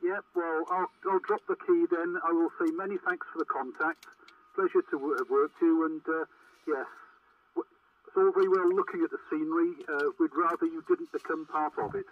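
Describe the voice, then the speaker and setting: A man's voice: fairly high pitch, fairly even intonation, fairly quick. A man chatting in radio procedure as a CB operator, heard through a radio transmission. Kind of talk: formal radio-procedure chat. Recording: tinny, noisy, distant CB radio link.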